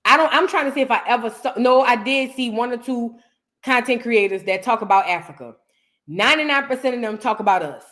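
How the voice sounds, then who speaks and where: A woman hosting a casual livestream, chatty and animated, reading out viewer messages and giving her opinion. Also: on the high side, a little fast. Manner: chatty, animated, reads out viewer super chats. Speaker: livestream host. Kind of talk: casual livestream reaction chat.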